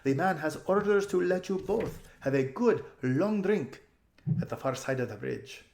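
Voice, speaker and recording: A man's voice: on the high side, expressive, a little slow, gravelly, darkish tone, reverberant, pitched above the performer's own voice. A man voicing a determined, practical young noble girl in a children's storybook, with a firm, matter-of-fact manner. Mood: brisk, instructing.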